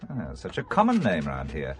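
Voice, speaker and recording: An actor playing a wary, quick-witted businessman turned spy in an audio drama, with a dry, sardonic manner. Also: a bass voice, wildly swooping pitch, crowd noise, full character voice. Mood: guardedly polite. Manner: dry, sardonic, hesitant when probing.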